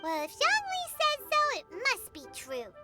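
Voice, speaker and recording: Brief: a nosy, teasing little fairy companion, very high and lively, game score loud behind. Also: female voice, measured pace, full character voice. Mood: amazed. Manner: teasing, suspicious. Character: small floating fairy-like companion, chirpy and nosy. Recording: game audio with loud background music. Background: loud game music.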